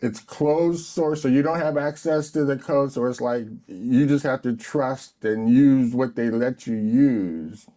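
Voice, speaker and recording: Mellow-voiced man explaining patiently. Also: medium pitch, a little slow, few pauses. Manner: patient, enthusiastic explainer who drifts into tangents. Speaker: podcast guest. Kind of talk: explaining to a friend.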